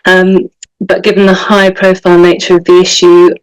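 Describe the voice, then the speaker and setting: A woman advisor opens her webinar presentation over a video call. Her voice is smooth and low, with little rise and fall. She speaks in a measured way. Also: completely flat delivery, poor audio. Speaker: catchment advisor.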